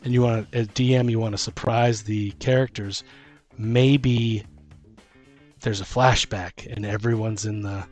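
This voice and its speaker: A man's voice: fairly low pitch, fairly even intonation, a little slow, a little mellow. A man co-hosting a casual podcast about tabletop gaming, talking as a dungeon master in a rambling way, thinking aloud. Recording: music playing behind the talk. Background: music.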